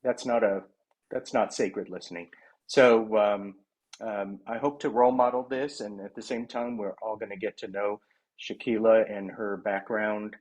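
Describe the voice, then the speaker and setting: A man with a low, husky voice hosts a virtual session and interviews a guest. He speaks haltingly, with frequent ums and restarts, over a video call microphone. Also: fairly even intonation, darkish tone, poor audio. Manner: halting, many fillers and restarts. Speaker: interviewer and project founder.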